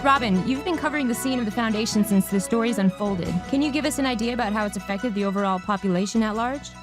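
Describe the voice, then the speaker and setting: A woman's voice: fairly high pitch, fast, close to an everyday voice. A woman playing an earnest news anchor in a satirical radio drama, with formal, portentous delivery. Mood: brisk, impressed. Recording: music playing under the voice.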